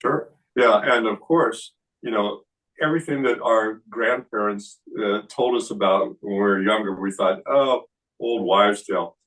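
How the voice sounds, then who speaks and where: Older man, low voice, kung fu teacher, relaxed and halting, recorded from across a room. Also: far from the mic, poor audio. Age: 60s. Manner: relaxed explaining with many fillers. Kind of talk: seminar talk. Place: indoor studio room.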